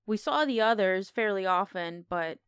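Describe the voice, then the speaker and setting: A woman's voice: mid-range voice, lively intonation, moderate pace, clean tone, dry recording, clean studio sound. A woman co-hosting a podcast, casually discussing film in a conversational, opinionated way. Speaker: podcast co-host.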